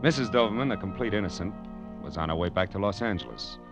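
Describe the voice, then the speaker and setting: A man's voice: on the low side, animated, fast talker, music in the background. An American man playing a wry, tough private detective who narrates his case in 1940s radio drama style. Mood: hard-boiled narration. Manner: wry, sardonic, slangy. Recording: dull old radio broadcast recording.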